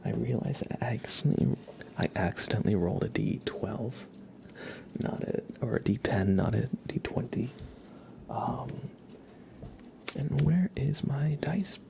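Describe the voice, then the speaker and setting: Male ASMR streamer, whispery with heavy vocal fry, soft-spoken and unhurried, talking casually close to the mic. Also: medium pitch. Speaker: ASMR livestream host. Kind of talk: ASMR, casual chat to live viewers.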